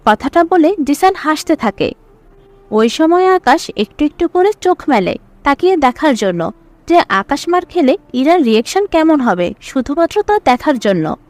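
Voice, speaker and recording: A woman's voice: sits high, very animated, normal speed, dry recording. A woman reading scripted story narration as the narrator.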